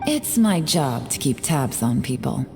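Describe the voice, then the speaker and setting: A woman's voice: very deep voice, very animated, unhurried, vocal fry, heavily acted. An actress playing a cool, self-assured female investigator in an anime video game, composed and clipped. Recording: long reverb, music behind.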